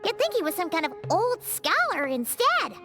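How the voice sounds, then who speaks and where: Tiny childlike fairy companion, very high squeaky voice, American accent, chirpy, bossy, video game dialogue, background music. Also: female voice, wildly swooping pitch, normal speed, full character voice. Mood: cheeky, opinionated. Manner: speaks of herself in the third person, exclaims brightly. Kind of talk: English video game voice acting. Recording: music behind the voice.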